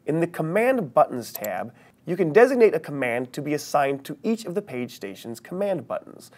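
A man in his thirties narrating a scripted software training tutorial, explaining step by step with emphasis on key terms. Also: a little high, big pitch swings, moderate pace. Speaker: training video presenter.

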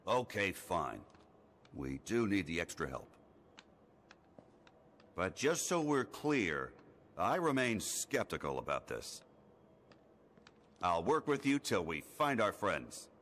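A proud, square-jawed toy space ranger for an animated game. He is skeptical and declarative, deep and deliberate, with dramatic swings of pitch. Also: exaggerated voice. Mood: grudging. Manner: firm, declarative, dismissive. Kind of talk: animated video game dialogue. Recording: clear background noise and ambience.

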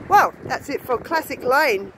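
A woman's voice: sits high, animated, measured pace. A woman giving casual, admiring walk-along commentary for a vlog, musing aloud and asking rhetorical questions. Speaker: vlogger. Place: outdoor car wrecking yard. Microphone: handheld phone held while walking. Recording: windy, noisy outdoor phone recording. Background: wind noise.

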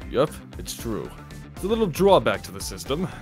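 A man's voice: mid-range voice, huge pitch swings, normal speed, a little mellow, faint music behind. A man voicing a big, easygoing death row inmate in a video game, hearty and unbothered. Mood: hearty, relaxed.

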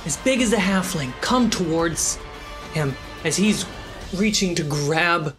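Woman game master for fantasy tabletop narration; low, slow delivery over a clearly audible score. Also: expressive. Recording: dramatic music under the voice. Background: background score.

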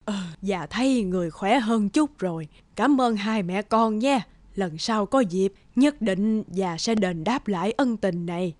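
An actress playing a humble old beggar woman in an animated folk tale, speaking slowly and haltingly. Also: mid-range voice, slight background noise, close to an everyday voice. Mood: grateful, humble. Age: older. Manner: slow, pleading, halting. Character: frail, humble old beggar woman, secretly wise spirit.

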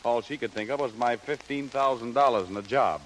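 An actor playing a bitter young star singer, irritable and curt, in 1930s radio style. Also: male voice, medium pitch, fairly quick, a bit bright, close to an everyday voice. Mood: bitter, angry. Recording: noisy 1930s radio broadcast.

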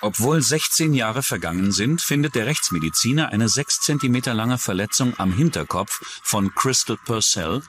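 A man's voice: medium pitch, expressive, normal speed, hardly any pauses, a bit bright. A man narrating a scripted true-crime documentary as its narrator. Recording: music bed under the voice. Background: music.

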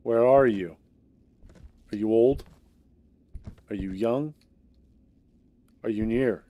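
A man's voice: medium pitch, takes it slowly, highs cut off. A man streaming a horror game, chatting casually with a friend and muttering to himself with sighs. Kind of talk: casual gaming chat.

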